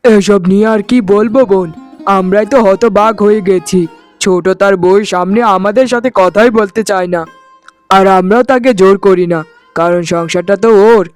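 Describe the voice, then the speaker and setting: A man's voice: high-pitched, moderate pace, clean tone, faint music behind, close to an everyday voice. A man voicing an earnest village fish-farming brother in a cartoon family drama, talking emphatically. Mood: resigned.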